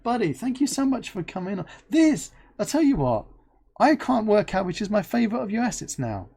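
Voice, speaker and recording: A livestream host chatting playfully with a guest and reading chat aloud, bubbly and expressive. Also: medium pitch, brisk, creaky, breathy edge. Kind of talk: live-stream interview and chat reading.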